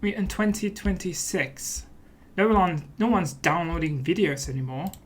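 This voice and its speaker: A man's voice: high-pitched, normal speed. A man hosting a let's play, giving casual commentary in a playful, mock-serious manner.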